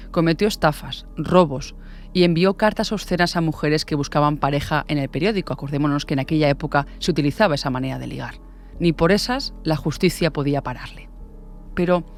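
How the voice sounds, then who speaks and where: A man narrating a scripted true-crime story in a deliberate storytelling manner. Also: a little high. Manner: deliberate, storytelling with rhetorical questions. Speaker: podcast narrator.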